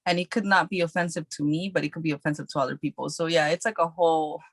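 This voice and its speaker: A young woman talks in a loose, chatty way, her sentences full of filler likes. Her voice sits low for a woman, and the recording is dry and close with no room sound. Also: steady tone, quick pace, dry recording. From New York City. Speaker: guest actress. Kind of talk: casual podcast chat.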